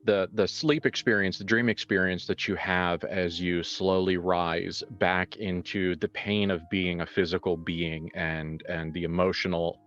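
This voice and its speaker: A man improvising tabletop horror narration as game master in a conversational, halting way, reworking his phrases as he goes. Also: low-pitched, a bit bright. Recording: muffled lo-fi sound, music behind. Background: background music.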